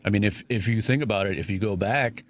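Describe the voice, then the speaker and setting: A man with a fairly low voice hosts a radio talk show. He speaks casually, piling up fillers and false starts as he thinks aloud. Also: fast. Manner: conversational, many fillers and restarts.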